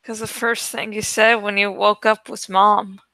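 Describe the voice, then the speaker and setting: A woman voicing a sad, concerned widowed father in a story, gentle and subdued. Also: medium pitch, flat delivery, a little slow, dry recording.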